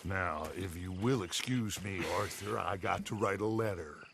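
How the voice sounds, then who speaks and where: A man playing a calculating, persuasive gang leader in a video game, with a gravelly voice and measured delivery. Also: low-pitched, noisy background. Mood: scheming, resolute. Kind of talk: cinematic video game acting.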